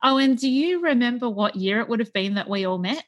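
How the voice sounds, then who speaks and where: Bubbly woman co-host with a higher voice. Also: fairly quick, no echo, dull audio. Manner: excited, bubbly. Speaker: podcast co-host. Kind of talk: casual interview chat.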